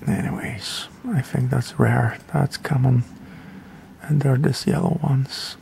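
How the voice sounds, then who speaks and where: A man's voice: mid-range voice, fairly even intonation, takes it slowly, creaky, dark tone, a little hiss or hum. A man giving a casual game tutorial in a rambling, offhand, hesitant way. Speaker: gaming video creator.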